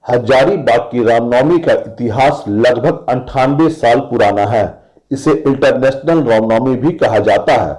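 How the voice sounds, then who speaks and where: A man's voice: medium pitch, darkish tone, low-quality recording. Man reading a formal radio news report. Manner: measured formal newsreading.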